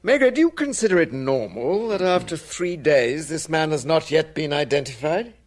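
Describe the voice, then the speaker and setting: Radio play: male actor as pompous, impatient judge, British accent, slightly high voice, swooping sceptical delivery. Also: expressive, normal speed, close to an everyday voice. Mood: stiffly impatient. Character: prickly, formal, impatient male examining judge. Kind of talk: radio drama acting.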